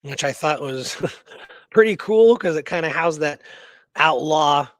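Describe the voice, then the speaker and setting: A man's voice: on the high side, animated, takes it slowly, no echo. A man co-hosting a radio show, casually telling historical research and often checking in with "right?" and "you know".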